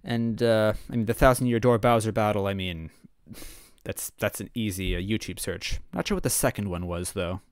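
A man casually reading a fan story aloud, rambling between passages and clearing his throat. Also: on the low side, moderate pace, no echo, clean studio sound. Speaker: reader and narrator.

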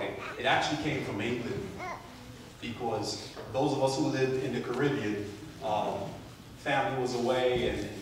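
A man in his forties from Barbados, preaching a sermon with a handheld mic, moving from soft storytelling asides to loud emphatic peaks. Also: mid-range voice, recorded from a distance, heavy background noise. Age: 40s. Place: church sanctuary. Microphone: handheld mic, far camera. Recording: echoey church, captured from afar.

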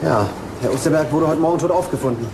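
An actor playing a businesslike police detective with terse, direct questions in a crime radio play. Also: male voice, fairly high pitch, quick pace, natural voice. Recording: clear background noise of work yard.

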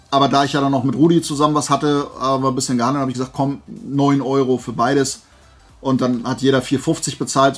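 A man's voice: mid-range voice, breathy edge. A man chatting casually and playfully to camera as a vlogger, rambling through asides. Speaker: YouTuber showing flea market finds.

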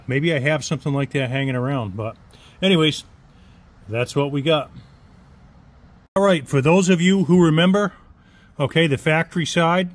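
A middle-aged man talking casually at his workbench, explaining each step in a plain-spoken way. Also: on the high side. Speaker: chainsaw modder. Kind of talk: casual workshop tutorial. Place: workshop bench indoors.

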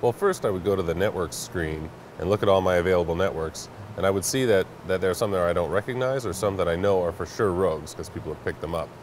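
A man's voice: low-pitched, quick pace, few pauses. A man in his thirties explaining a product in an interview, matter-of-fact and a little hesitant. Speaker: network product company representative, guest. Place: large indoor warehouse staging space. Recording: clear background noise of a warehouse.

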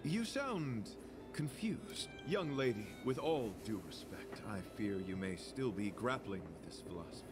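An actor playing a courteous, earnest young knight devoted to beauty, speaking in formal, florid phrases for a video game. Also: male voice, on the low side, lively intonation, measured pace. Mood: gentle, devout. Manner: formal, florid, chivalrous delivery. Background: game music.

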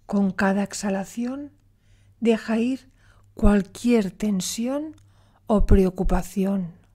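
Female meditation guide: mellow, relaxed tone, slow delivery, soothing, with long pauses. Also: mid-range voice, breathy edge.

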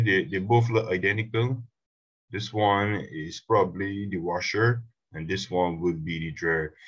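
Low-voiced man explaining a software tutorial. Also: muffled recording. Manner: relaxed step-by-step explaining with fillers. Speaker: instructor. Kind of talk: screen-recorded software tutorial.

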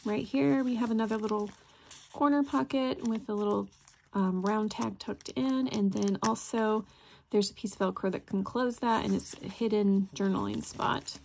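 A woman narrates a craft flip-through in an informal, chatty way. Her voice sits at a middle pitch and she sounds keen on the little details. There is noticeable noise behind her, with small clicks. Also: steady tone, moderate pace, a little mellow. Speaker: crafter presenting handmade journals. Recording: clear background noise, handling clicks.

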